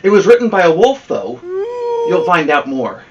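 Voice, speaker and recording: A man's voice: high-pitched, huge pitch swings, moderate pace, far from the mic, noisy background. A man hosting a story time, introducing picture books to young listeners. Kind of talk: picture book read-aloud introduction.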